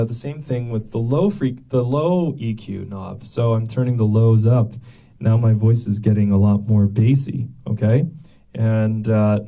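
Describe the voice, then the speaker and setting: A man's voice: mid-range voice, mellow. A man giving a casual hands-on lesson on a mixing board, explaining step by step with plenty of fillers and restarts. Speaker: instructor. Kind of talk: casual tutorial demonstration. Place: room with a mixing board and speaker.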